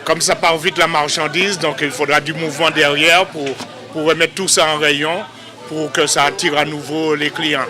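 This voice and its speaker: A man's voice: a little high, level tone, moderate pace, sharp tone, soft background music, a faint crowd. A man working in a shop, talking casually in an on-the-spot interview.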